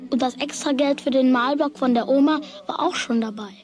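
A woman's voice: high voice, fairly quick, a little mellow, close to an everyday voice. A woman playing a lovestruck, worried schoolboy in a children's radio play. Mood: dismayed.

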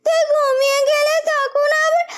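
A woman's voice: very high voice, little pitch movement, moderate pace, a little hiss or hum. A woman playing a whiny, greedy younger sister in a Bengali fairytale cartoon. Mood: whiny, sulky.

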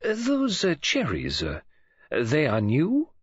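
A man voices a fastidious old detective who talks with formal, precise care. His speech is slow and mournful, scattered with French phrases. The voice is husky, and the recording sounds a bit dull. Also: a little high, huge pitch swings, no echo, voice pushed up, full character voice. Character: fastidious elderly male foreign detective.

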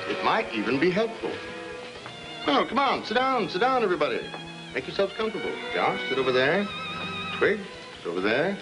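Jovial American father, very animated. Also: sits high, fairly quick, pauses often, rough recording. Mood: jovial, coaxing. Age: middle-aged. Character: genial, self-assured suburban father and husband, jovially bossy. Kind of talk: 1950s American film acting. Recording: 1950s film soundtrack with music behind.

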